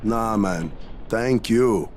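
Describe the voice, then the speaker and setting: A man playing a gruff, suspicious smuggler in a video game, curt and street-tough in manner. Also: lowish voice, drawn-out words, a little mellow, a little hiss or hum. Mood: grateful, brisk. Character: gruff, suspicious male smuggler guarding a secret route. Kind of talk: video game cutscene acting.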